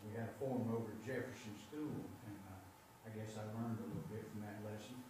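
A man's voice: fairly low pitch, steady tone, fast. Rambling man heard from across an echoey meeting room. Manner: rambling anecdotal storyteller. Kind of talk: meeting discussion. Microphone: far camera. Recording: echoey room from far off, background noise.

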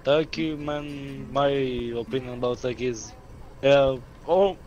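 A man's voice: medium pitch, fairly even intonation, unhurried, a little mellow, dull audio, a little hiss or hum. A man chatting and arguing casually on game voice chat, repeating himself insistently. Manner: repetitive, insistent. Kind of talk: casual argumentative banter.

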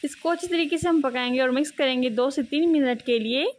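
A woman host giving a steady, step-by-step voice-over for a cooking video. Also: high voice, a little fast. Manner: steady instructive, repeats cooking steps. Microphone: voice-over, not seen speaking.

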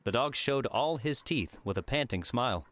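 A man's voice: medium pitch, expressive, normal speed, fairly bright tone. A man reading a work of fiction aloud as scripted audiobook narration.

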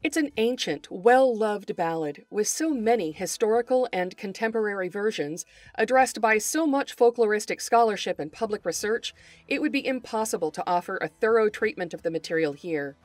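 Woman, podcast host, articulate, formal scripted delivery, mid-pitched, dry recording. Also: expressive, moderate pace, dry recording. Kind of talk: scripted narration.

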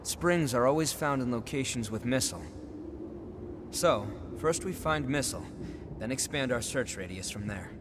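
A man's voice: medium pitch, normal speed, natural voice. An actor playing a polite, earnest young male researcher in a video game, explaining plans. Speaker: game character. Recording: game audio with steady background noise.

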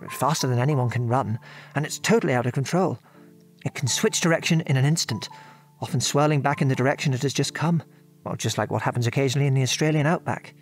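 Audio drama: British ex-RAF father, reflective, hesitant, genteel, haunted by remorse, music underneath. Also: fairly high pitch. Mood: grave, explanatory. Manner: hesitant, reflective, genteel old-fashioned turns of phrase. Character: remorseful, modest English father, former RAF bomb aimer. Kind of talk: audio drama acting, reminiscing monologue.

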